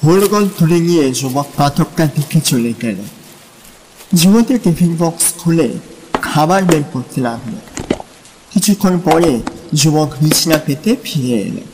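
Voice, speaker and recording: A man narrating a literary story in a measured, dramatic style. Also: a little high, lively intonation, darkish tone, a little hiss or hum. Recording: long reverb, music and rain behind.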